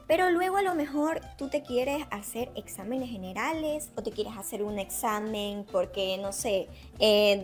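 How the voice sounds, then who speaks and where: An Ecuadorian woman from Ecuador chatting casually to camera, explaining with examples and speaking straight to the viewer. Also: mid-range voice. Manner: chatty, gives examples, addresses the viewer directly. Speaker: interviewee sharing her experience. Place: Barcelona.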